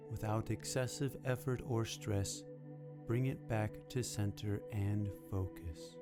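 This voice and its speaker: A man acts as a serene meditation guide in a comedy audio sketch. His lowish voice is gentle, deadpan and very unhurried under prominent new-age music. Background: loud new-age music with singing bowls.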